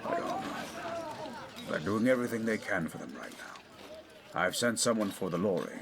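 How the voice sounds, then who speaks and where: Man acting a stern English lord with swooping pitch. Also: huge pitch swings, moderate pace, creaky, a crowd in the background, full character voice. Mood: tense, grim. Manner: authoritative, clipped orders. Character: stern, commanding English lord, father of a grown daughter. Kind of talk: scripted audio drama acting.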